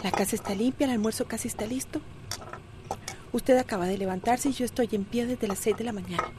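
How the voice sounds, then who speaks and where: A woman's voice: a little high, brisk, noisy background, lightly acted. An actress with a Costa Rican accent playing a wronged housewife in a radio soap opera, reproachful and hurt as she stands up for herself. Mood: weary, curt. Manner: reproachful, wounded, formal in address.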